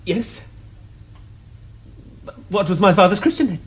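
An actor playing an excitable, earnest young Victorian gentleman with an upper-class English accent, in formal theatrical diction for a television period comedy. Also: high voice, a little slow. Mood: anxious, urgent. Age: young adult.

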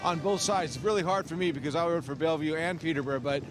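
A man's voice: fairly high pitch, fast. Plain-spoken male pundit. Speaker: former rider and pundit.

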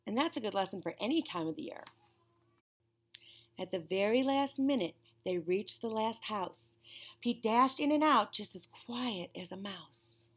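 A woman in her forties reading a children's storybook aloud, with an expressive, deliberate storytelling delivery. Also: medium pitch, husky. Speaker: children's storybook reader, teacher. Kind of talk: read-aloud for young children. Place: classroom, indoors.

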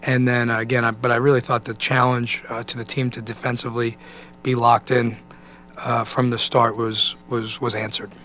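An American man in his forties, a basketball coach answering press questions in a halting, conversational way, often saying "you know.". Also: medium pitch, flat delivery, pushed and bright, a little hiss or hum. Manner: halting, thinking aloud, peppered with 'you know'. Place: indoor press conference room. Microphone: microphone on a stand at a table.

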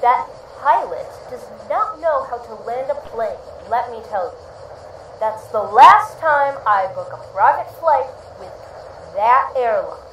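A pompous rich husband. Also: female voice, on the high side, expressive, measured pace, a bit bright, a little hiss or hum, rough recording. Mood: peevish. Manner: pompous, grandiose. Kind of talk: radio play acting.

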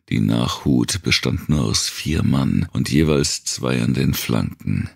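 A man narrating a dark thriller audiobook in a gravelly, husky voice, measured and grave. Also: rumbling low, dry recording, studio quality.

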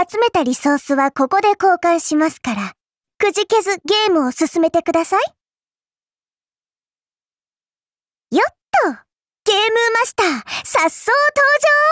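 Super high little anime fairy girl, polite n cutesy but bit smug and mischievous, game voice lines, dry studio sound. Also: fairly bright tone, no echo. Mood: cheerful, welcoming. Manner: courteous, cutesy, playfully condescending. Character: petite polite fairy-like alter ego girl, sweetly mischievous shopkeeper. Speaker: game character voice actor. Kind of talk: Japanese mobile game voice lines, anime acting.

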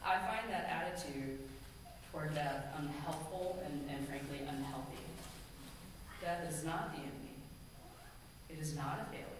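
A middle-aged woman minister preaching a sermon from a pulpit, measured and earnest, slowing down to stress key phrases. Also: low voice. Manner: measured, earnest, slows for emphasis. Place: large church sanctuary, at a pulpit. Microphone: pulpit gooseneck mic, far camera. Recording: echoey hall from far off, ambient noise.

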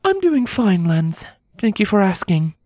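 A man's voice: high voice, big pitch swings, unhurried, darkish tone, no room sound, voice pushed up, full character voice. A man voicing a composed, guarded female unicorn who speaks politely and firmly in a story reading.

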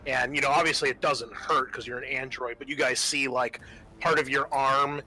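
A man speaking as game master of a tabletop roleplaying game, casual and halting as he thinks aloud. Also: mid-range voice, steady tone, sharp tone, poor audio.

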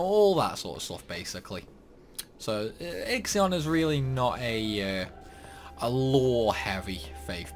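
A young man giving rambling, casual commentary over a game playthrough. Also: on the low side. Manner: chatty, rambling, lots of likes and uhs. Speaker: gaming youtuber.

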